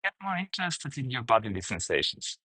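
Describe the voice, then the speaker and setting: A man guiding a meditation, speaking gently in short, halting phrases with pauses. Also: medium pitch, big pitch swings, husky, no room sound. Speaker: meditation teacher, guide. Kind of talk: guided meditation, unscripted instruction.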